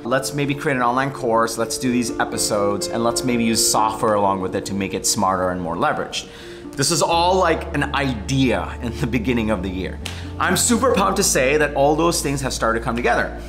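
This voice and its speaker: A man's voice: mid-range voice, music in the background. Upbeat man vlogging to camera. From Bangladesh, raised in New York. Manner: upbeat, enthusiastic, quick lively delivery. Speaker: vlogger, weekly channel host. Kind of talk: casual vlog update to camera. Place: home studio living room indoors.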